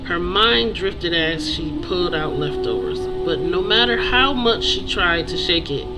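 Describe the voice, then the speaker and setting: An author presenting their own book in a nervous, halting voice with small stumbles and self-corrections. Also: mid-range voice, animated, low-quality recording. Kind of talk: read-aloud fiction, then casual promotion. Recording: music playing behind voice. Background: music.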